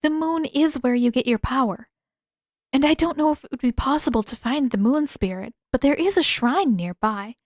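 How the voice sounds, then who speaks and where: A courteous teenage princess acted by a woman reader, pitched a little high and careful with her words. She is gentle, thoughtful and hesitant, as in an audiobook. The recording is very dry. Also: expressive, moderate pace, no echo. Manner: careful, hesitant, polite phrasing.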